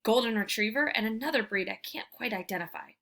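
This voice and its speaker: A woman reading a children's book aloud as a storyteller, with expressive, emphatic delivery. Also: fairly high pitch, fast. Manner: animated, emphatic, theatrical delivery.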